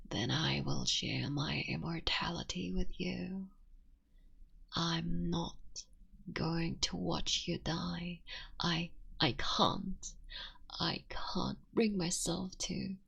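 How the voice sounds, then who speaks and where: Immortal fox-spirit witch, tender wife, played by a woman in hushed ASMR whispers, slow and gravelly, breathy tone. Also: lowish voice, steady tone, dull audio, full character voice. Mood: tender, wistful. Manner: soft intimate whispering. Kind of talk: ASMR roleplay.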